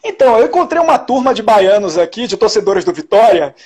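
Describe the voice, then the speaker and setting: High-voiced Bahian man, rambling. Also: sing-song, quick pace, darkish tone, slightly rough. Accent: Bahian Portuguese. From Salvador, Bahia, Brazil. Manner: rambling, frequent restarts and tag questions. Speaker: journalist guest. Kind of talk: casual interview conversation.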